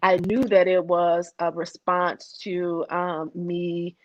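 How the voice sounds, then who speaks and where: A woman guest with a soft voice, talking in a hesitant conversational way with many fillers and restarts. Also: fairly low pitch, little pitch movement, slow. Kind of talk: conversational interview.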